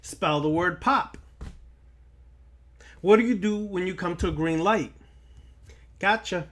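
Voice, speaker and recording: A man's voice: sits high, takes it slowly, fairly bright tone. A man giving a spiritual lecture straight to his listeners, emphatic and repeating phrases for weight. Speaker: occult lecturer.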